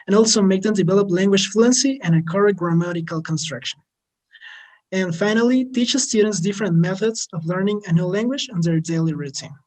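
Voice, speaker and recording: A man's voice: high voice, fairly quick, a little mellow, low-quality recording. A male moderator reading a prepared project introduction in a formal, scripted manner over a video call. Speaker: webinar moderator.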